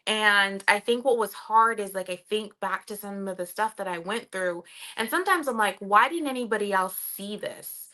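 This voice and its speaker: Woman from Cameroon, young adult, bright clear voice, chatty, lots of fillers. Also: medium pitch, moderate pace, hardly any pauses. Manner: chatty, many fillers, laughs while telling her story. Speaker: podcast guest. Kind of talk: casual podcast interview.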